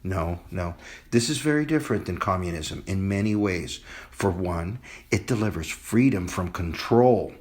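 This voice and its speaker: A man playing an idealistic, courteous chef who advises a president in an amateur audio drama, explaining things in a measured way. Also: deep voice, lively intonation, a little mellow, voice pushed down. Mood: calm, idealistic.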